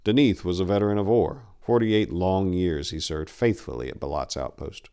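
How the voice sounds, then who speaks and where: A man with a low voice gives a dramatic reading as narrator. His delivery is brooding, deliberate and theatrical. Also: warm tone, clean tone. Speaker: narrator and channel host.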